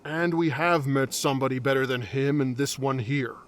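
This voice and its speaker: A man's voice: mid-range voice, normal speed, natural voice. An actor playing a blunt, hard-to-please male adventurer in a fantasy audio drama, speaking in a curt, dry manner.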